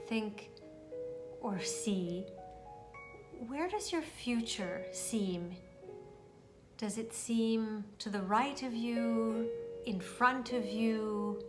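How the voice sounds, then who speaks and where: A woman leading a guided meditation, speaking soothingly and deliberately, with long pauses as she addresses the listener directly. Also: medium pitch. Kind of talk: guided meditation, spoken instruction. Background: meditation music.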